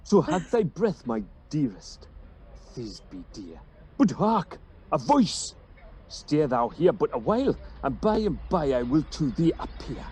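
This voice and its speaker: A woman plays a vain, bossy weaver who fancies themself a great actor. The delivery is theatrical and self-important, with hugely swooping pitch and a soft, mellow tone. It is Shakespeare done as radio drama. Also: big pitch swings, unhurried, a little hiss or hum. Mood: declaiming. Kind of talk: Shakespeare radio play acting.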